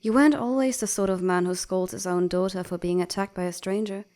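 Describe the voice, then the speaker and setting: A woman's voice: medium pitch, steady tone, a little fast, background noise, lightly acted. A woman playing an outspoken, protective bird doctor at an academy in a comic fandub, articulate, insistent and reproachful. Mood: concerned reproach. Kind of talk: dramatic comic fandub acting.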